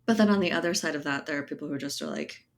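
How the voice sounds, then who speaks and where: A woman's voice: deep voice, racing. A woman speaking casually as an interview guest, thinking aloud and checking in with a conversational "right?" as she explains. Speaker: guest, writer and editor. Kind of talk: casual podcast interview chat.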